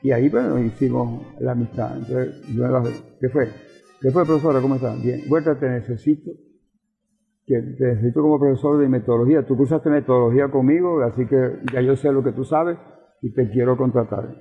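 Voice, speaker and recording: A man's voice: medium pitch, fairly even intonation, brisk, vocal fry, husky. An older man with a Venezuelan accent, explaining and reminiscing in a conversational interview, emphatic and given to repeating himself. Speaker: interview guest, professor and politician. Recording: roomy reverb, thin phone-like sound, faint music.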